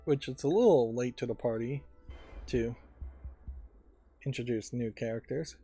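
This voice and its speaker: A man giving musing game commentary, thinking aloud in a halting way. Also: medium pitch, mellow, slightly rough. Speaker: let's play host. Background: faint game music.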